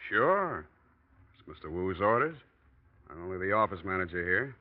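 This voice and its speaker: A man's voice: low voice, big pitch swings, moderate pace. A man playing a scheming, nervy office manager in a 1940s radio noir, curt and hesitant by turns. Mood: falsely casual. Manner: hesitant cover-ups, curt snapping. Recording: muffled old radio recording.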